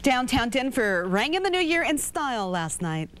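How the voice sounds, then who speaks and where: A woman's voice: sits high, big pitch swings, moderate pace, a bit bright, a little hiss or hum. American woman anchoring the news. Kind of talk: scripted news narration.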